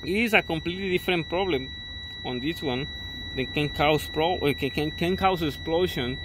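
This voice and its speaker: A man talks through a truck repair in a casual, hands-on way, pointing things out as he goes. His English is full of fillers and restarts. It sounds recorded on a phone, with a clear vehicle hum underneath. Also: a little high, moderate pace. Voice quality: non-native English with filler-heavy restarts. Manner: explains step by step, pointing things out. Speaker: diesel truck mechanic. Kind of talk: casual how-to walkthrough. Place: truck cab and battery compartment.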